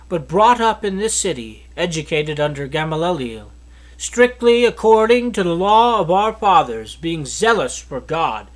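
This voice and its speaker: Male Bible reader, notably high voice, expressive scripted narration. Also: rough recording.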